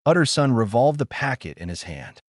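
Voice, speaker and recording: A man's voice: medium pitch, sing-song, moderate pace, no room sound, studio quality. A man reading a scripted noir audiobook as a dramatic narrator.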